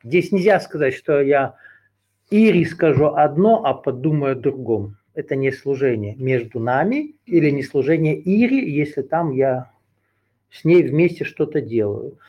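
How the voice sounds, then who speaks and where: A man's voice: medium pitch, expressive, measured pace, dark tone. A man teaching a group, lecturing in unscripted, discursive talk with hesitant fillers, often circling back to rephrase a point. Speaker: teacher leading a group session.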